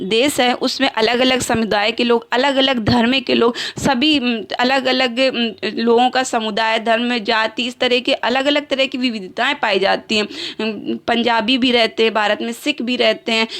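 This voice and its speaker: A woman's voice: fairly high pitch, fairly bright tone. A woman teaching an exam-prep lesson over slides, explaining in Hindi with English terms and pairing each term in both languages, with fillers and self-corrections.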